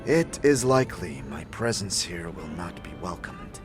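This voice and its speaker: A gravelly, solemn fantasy warrior. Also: male voice, deep voice, very animated, normal speed, music in the background. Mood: grave, guarded. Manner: clipped, formal, archaic phrasing. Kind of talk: fantasy video game acting.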